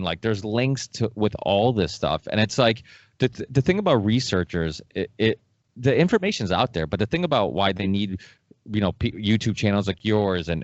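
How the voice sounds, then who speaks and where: A man's voice: on the low side, no room sound. A man from Massachusetts hosting a casual podcast, talking in a rambling, emphatic way and repeating himself as he goes. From Massachusetts, born in Portugal. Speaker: podcast host. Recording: dull lo-fi audio.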